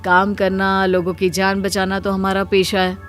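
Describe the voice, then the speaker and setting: A weary but dutiful male doctor from a cartoon moral tale, acted out by a woman at a medium pitch. The delivery is earnest and compassionate, with faint music underneath. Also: monotone, moderate pace, natural voice. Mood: sad, burdened. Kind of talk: Hindi moral cartoon story voice acting.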